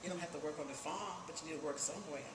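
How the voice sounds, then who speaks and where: A woman's voice: low voice, racing. An older woman from Virginia with a Southern accent, reminiscing emphatically and repeating phrases for weight. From Virginia, United States. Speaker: oral history interviewee. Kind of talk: personal reminiscence. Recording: echoey room with clear background noise.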